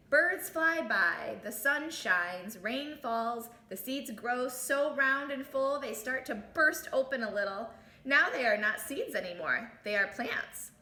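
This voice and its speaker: A woman reading a storybook aloud to children in an expressive teacher's way, adding little asides, in an echoey room. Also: a little high. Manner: animated, exclaims and comments on the story.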